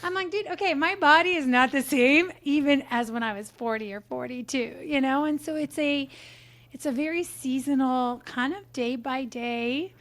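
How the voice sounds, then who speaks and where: A woman in her forties hosts an interview with a high, bright-pitched voice. She is enthusiastic and affirming, often breaking into light laughter. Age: 40s. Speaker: podcast host. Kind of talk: casual interview chat.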